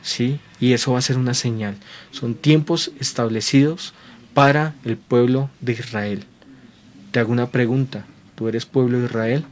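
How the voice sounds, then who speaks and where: A man preaching with earnest conviction, his voice sitting at an ordinary middle pitch. He keeps checking in with his listeners through quick rhetorical questions and circles back over phrases as he builds each point. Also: fairly even intonation, slight background noise. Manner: repeats and restarts phrases while building points. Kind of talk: sermon to viewers at home.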